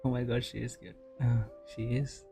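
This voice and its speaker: A man's voice: mid-range voice, little pitch movement, normal speed, creaky. A man giving casual, offhand reaction commentary as he watches a game story, in short interjections. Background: game music and dialogue.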